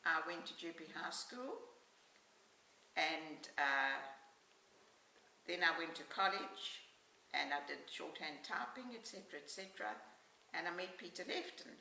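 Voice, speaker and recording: An older woman in her seventies with a South African accent, reminiscing about her life in an unhurried, matter-of-fact way as an interviewee. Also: lowish voice, lively intonation, vocal fry, sharp tone. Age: 70s. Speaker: oral history interviewee. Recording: echoey room, distant mic, faint hiss.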